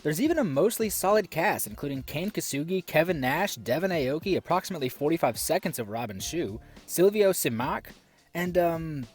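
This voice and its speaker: A man's voice: a little high, animated, normal speed, breathy edge. Male reviewer, scripted commentary, dry sarcastic asides, bouncy intonation, faint music bed. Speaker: film reviewer.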